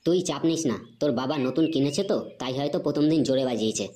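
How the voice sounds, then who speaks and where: A woman's voice: rumbling low, rapid-fire, dark tone. A woman voicing a laid-back, teasing older cartoon boy who talks in casual slang, with a West Bengali accent. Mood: curious, soothing. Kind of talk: comedy cartoon dialogue. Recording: clearly noisy background.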